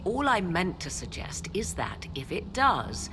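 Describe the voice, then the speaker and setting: Proud, guarded fantasy-game sorceress. Deep register, very expressive melody, stately formal diction, music underneath. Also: female voice, background noise. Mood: earnest, persuasive. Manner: formal, measured, archaic phrasing, haughty. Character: proud, guarded sorceress mother, driven by secret ambition. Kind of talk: dramatic scripted game dialogue.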